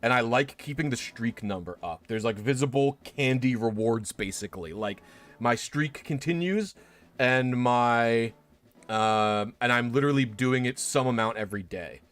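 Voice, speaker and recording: A man's voice: mid-range voice, moderate pace, fairly bright tone. Rambling young man chatting casually. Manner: rambling, many restarts and fillers. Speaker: streamer. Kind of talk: casual chat.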